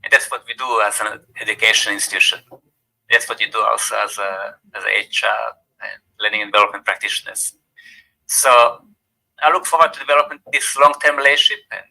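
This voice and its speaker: An older man with a Croatian accent giving a formal vote of thanks at an event, speaking haltingly and repeating words as he goes. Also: fairly low pitch, vocal fry, bright, forceful tone, low-quality recording. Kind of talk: formal closing remarks at an event.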